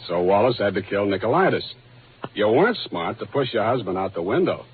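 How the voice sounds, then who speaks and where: Tinny old-radio hard-boiled detective. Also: male voice, lowish voice, big pitch swings, brisk, slight background noise. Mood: sardonic, assured. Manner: wry, flippant, slangy. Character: wisecracking, hard-boiled male private detective, cocky and unflappable. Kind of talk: 1940s radio detective acting. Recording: tinny 1940s radio recording, music.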